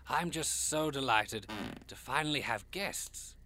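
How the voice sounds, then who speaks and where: A man playing a genteel, hospitable and macabre devil-like gentleman in an audio drama, effusive and quaintly polite in a theatrical way. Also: mid-range voice, expressive, unhurried. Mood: delighted, hospitable.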